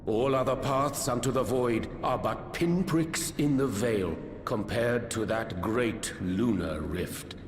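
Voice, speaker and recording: An actor playing a grave, courtly scholar-warrior in a fantasy video game, speaking in formal, archaic phrases with a solemn, measured manner. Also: male voice, mid-range voice, echoey room, long reverb tail, slight background noise, natural voice. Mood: grave concern.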